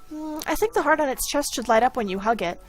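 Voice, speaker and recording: A woman's voice: high voice, animated, quick pace, soft background music, voice pushed up. A woman voicing a sweet, eager little girl with an earnest, childlike manner. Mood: eager, bright.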